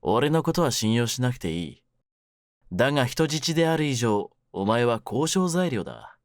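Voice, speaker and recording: A man playing a menacing young mafia kidnapper in an intimate voice drama, speaking low with rough, smug, teasing words. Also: very animated, normal speed, no echo, studio quality. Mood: cool, teasing. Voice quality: low-pitched, hushed at menacing moments. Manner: rough masculine speech, smug mock politeness. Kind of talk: ASMR situation voice drama, intimate one-sided roleplay.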